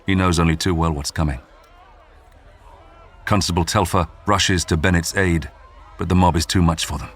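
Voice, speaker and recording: A man's voice: low-pitched, fairly even intonation, normal speed, pauses often, slightly rough. A man reading a scripted, dramatic true-crime documentary narration. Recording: music bed under the voice. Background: background music.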